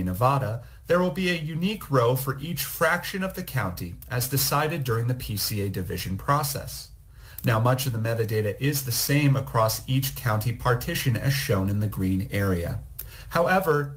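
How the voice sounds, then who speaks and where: A man reading a scripted, recorded technical presentation in a measured, explanatory way. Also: medium pitch. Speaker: program manager presenting.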